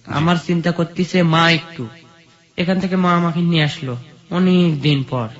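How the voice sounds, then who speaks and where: High-pitched Bangladeshi man telling his story. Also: steady tone, normal speed. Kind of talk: personal storytelling on a radio show. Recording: dull, lo-fi audio.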